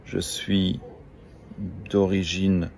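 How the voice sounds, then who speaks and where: A man from Luxembourg giving a slow, deliberate and disdainful talking-head monologue. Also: sits low, little pitch movement, creaky, darkish tone, a little hiss or hum. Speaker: social media influencer.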